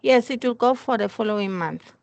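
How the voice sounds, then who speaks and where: Woman, middle pitch, heavy vocal fry, dry close sound, halting step-by-step work explanation. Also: darkish tone, no room sound, dull audio. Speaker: employee explaining her accounting work. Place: recorded online work meeting.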